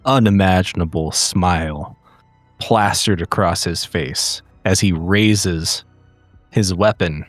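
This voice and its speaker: Deep, slow male horror narrator. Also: dry recording. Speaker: tabletop roleplaying game cast member.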